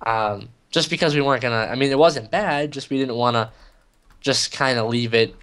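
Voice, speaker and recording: Teen dude chatting while gaming, smooth clear voice, lots of ums. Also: male voice, medium pitch, moderate pace, a bit bright. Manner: loose, filler-heavy storytelling. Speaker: gaming channel co-host. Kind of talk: casual chat over gameplay.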